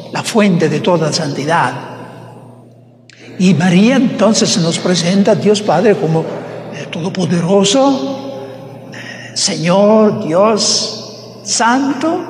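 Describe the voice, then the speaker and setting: Man preaching, non-native speaker, slow halting delivery, high voice, hall reverb, faint background hum. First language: not Spanish. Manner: slow, halting, searches for words. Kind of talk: sermon.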